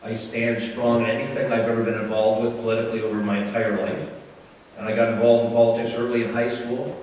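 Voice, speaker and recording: Candidate speaking in an echoey hall. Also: male voice, medium pitch, darkish tone, recorded from a distance, a little hiss or hum. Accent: Canadian. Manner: firm, earnest stump speech. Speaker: council candidate. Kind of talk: campaign speech at a public meeting. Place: large hall at a candidates meeting. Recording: echoey hall, mic from afar.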